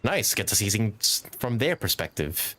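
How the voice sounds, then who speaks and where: A man's voice: on the low side, sing-song, a little slow, sharp tone. A man doing casual, informal live commentary as he streams a video game. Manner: informal, swearing, chatty. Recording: faint noise behind.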